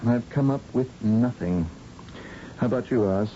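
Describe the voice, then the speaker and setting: A man's voice: moderate pace, dark tone, natural voice. An actor playing a weary, grim male scientist in a radio drama, with a blunt, resigned manner. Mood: grim resignation. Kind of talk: 1970s-style radio drama acting. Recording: muffled, noisy old radio broadcast with rumble.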